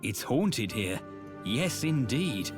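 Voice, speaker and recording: A man's voice: mid-range voice, big pitch swings, slow pace. A man voicing a wry, sarcastic companion character. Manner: dry, sarcastic. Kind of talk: video game cutscene voice acting. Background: game music.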